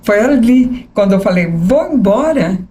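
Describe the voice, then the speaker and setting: A woman in her sixties with a São Paulo accent, a journalist telling her life story as a podcast guest, animated and often asking "né?". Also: mid-range voice, measured pace. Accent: São Paulo capital Portuguese. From São Paulo state. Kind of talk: conversational interview storytelling.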